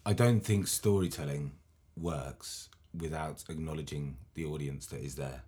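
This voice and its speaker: Male co-host and storyteller; very deep voice, enthusiastic, swears freely. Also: measured pace, mellow. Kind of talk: casual podcast chat.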